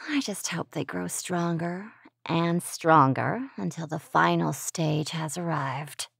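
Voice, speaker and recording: A woman's voice: sits low, takes it slowly, creaky, fairly bright tone, husky, no room sound, full character voice. A woman playing a commanding, scheming villainess who speaks with theatrical condescension to her minions.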